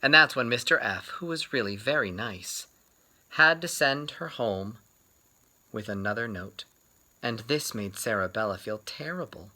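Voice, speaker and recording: A man reads a picture book aloud as an expressive storyteller. His pitch moves around a lot and he takes his time, leaving dramatic pauses. The tone is bright and clear-cut. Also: low-quality recording. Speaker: children's book reader.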